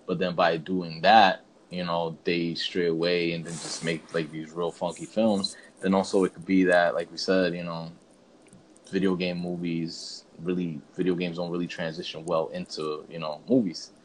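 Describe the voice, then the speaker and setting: A man's voice: low voice, little pitch movement, normal speed, poor audio. A man co-hosting a podcast in casual, slangy chat, feeling his way through sentences, with background noise. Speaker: podcast co-host.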